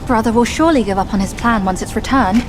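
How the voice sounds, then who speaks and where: An actress playing a young fox-like demon princess in a video game, a coaxing, earnest and expressive daughter. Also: female voice, on the high side, quick pace, music in the background, close to an everyday voice. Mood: pleading.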